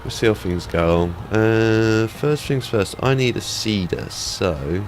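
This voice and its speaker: A man's voice: lowish voice, lively intonation, unhurried, a little hiss or hum. A man with a head cold, chatting casually through gameplay as a let's play host, rambling with lots of ums.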